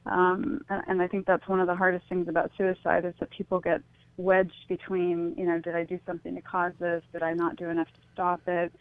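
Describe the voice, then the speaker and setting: A woman's voice: on the low side, completely flat delivery, fairly quick, no echo. A woman is a guest on a radio interview, heard over a narrow, phone-like line with no room sound. She talks in a reflective, conversational way, a little tentative, often checking her point as she goes. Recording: thin, phone-like call-in line.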